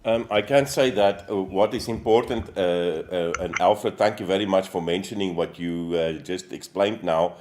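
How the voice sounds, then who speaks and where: A company executive speaking on a livestream, halting and full of fillers. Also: male voice, low voice, background noise. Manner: halting, many fillers and restarts. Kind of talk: casual livestream talk.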